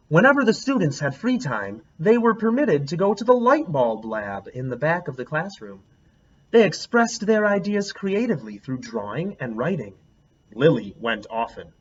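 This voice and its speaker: A man reading a picture book aloud as a teacher, a lively storyteller who stops to comment on the pictures. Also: medium pitch, lo-fi sound, slight background noise.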